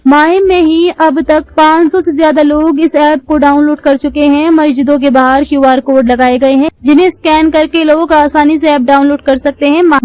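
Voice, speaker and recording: Female news narrator, high register, scripted read over faint background music. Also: a little mellow.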